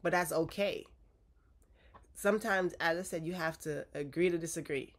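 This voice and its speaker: A woman's voice: on the low side, moderate pace. Stuffy-nosed woman, 40s, casual relationship advice vlog, direct and coachy, keeps saying okay?. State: stuffy from a cold. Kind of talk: casual advice talk to viewers.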